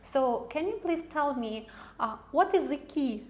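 High-voiced woman TV interviewer. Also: lively intonation, a little slow, a little mellow. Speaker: TV channel host, interviewer. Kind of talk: interview questions.

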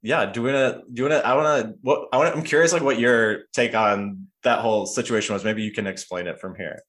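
A man's voice: medium pitch, fast talker, fairly bright tone. A man hosting a podcast in a casual, personal chat, rambling and often interrupting himself as he goes. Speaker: podcast host.

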